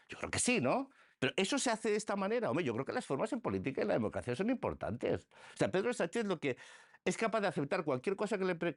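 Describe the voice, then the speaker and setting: A man's voice: medium pitch, no echo, clean studio sound. A middle-aged man, a politician in a radio interview, arguing with emphatic rhetorical questions and frequent restarts. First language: Spanish.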